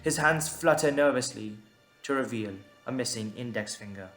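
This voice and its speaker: A man's voice: medium pitch, moderate pace, a bit bright. A man narrating a scripted audio drama in a storytelling style. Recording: roomy echo, background music.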